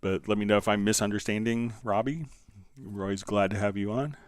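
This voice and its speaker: A man hosting a casual live software tutorial, answering viewer questions in a conversational, step-by-step way. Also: on the low side, steady tone, a little fast, clear, resonant tone, no room sound, clean studio sound. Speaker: livestream host and software instructor.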